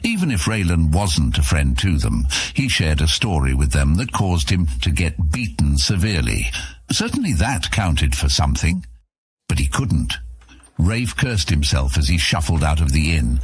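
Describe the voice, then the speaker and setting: Deep, husky male storyteller with lively delivery. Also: moderate pace, faint music behind. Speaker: narrator of a fantasy audio serial. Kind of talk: dramatic scripted storytelling narration.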